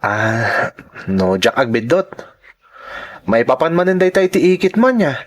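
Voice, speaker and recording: A man's voice: medium pitch, very animated, unhurried. A man with a Filipino accent playing a polite, devoted young student in an earnest radio drama style. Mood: concerned. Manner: respectful, earnest. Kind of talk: Ilocano radio drama acting.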